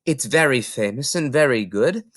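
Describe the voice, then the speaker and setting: A man's voice: medium pitch, expressive, normal speed, no echo, studio quality. A man voicing a kindly, helpful grown woman who works as a village librarian. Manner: gentle, helpful.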